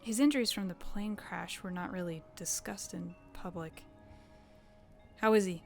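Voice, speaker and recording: A woman's voice: lowish voice, normal speed, faint music behind, close to an everyday voice. An actress playing a curious, skeptical young woman journalist who stammers when flustered and asks probing, wry questions. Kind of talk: audio drama table read.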